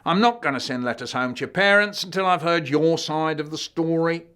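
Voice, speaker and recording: Brief: a man playing an exasperated blustering teacher as judge, high and loud. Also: fairly quick, clean tone, lightly acted. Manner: blustering, exasperated, shouting for order.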